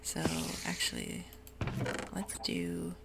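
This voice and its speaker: A woman whispering ASMR gameplay commentary in a soft, rambling way with many ums. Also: lowish voice, huge pitch swings, slow pace, gravelly, very noisy. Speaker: gaming ASMR host.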